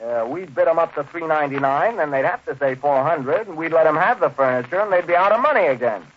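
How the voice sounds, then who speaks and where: Sarcastic, higher-voiced young radio sitcom nephew. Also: male voice, expressive, fast talker. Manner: sarcastic, needling. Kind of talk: 1940s radio sitcom acting. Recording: muffled old-time radio recording.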